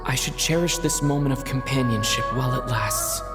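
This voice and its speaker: A woman voicing a young girl lost in the dark, wary and unsure, with soft, hushed questions. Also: rumbling low, brisk. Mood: wistful. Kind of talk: game voice acting. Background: loud game music.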